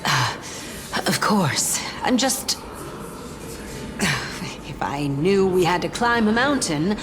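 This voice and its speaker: An actress playing a haughty, solemn learned sage with a proud, dry delivery in a video game drama. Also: female voice, on the low side, very animated, slow pace. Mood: haughty, grumbling. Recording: game dialogue over prominent music.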